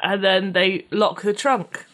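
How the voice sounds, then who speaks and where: A woman co-hosting a podcast, telling absurd stories in a deadpan, casual way. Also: medium pitch, takes it slowly. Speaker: podcast co-host. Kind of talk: casual comedic chat.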